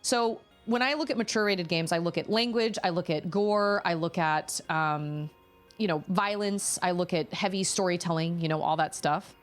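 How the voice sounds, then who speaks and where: A woman's voice: fairly low pitch, moderate pace, soft background music. A woman streamer chatting casually at home while playing a game live, thinking aloud and restarting her sentences often. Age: adult parent.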